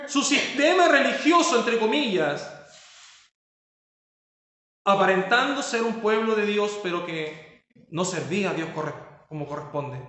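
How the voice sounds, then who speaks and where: A man's voice: sits high, sharp tone, very echoey. A man preaching a sermon as pastor, stressing points with rhetorical questions.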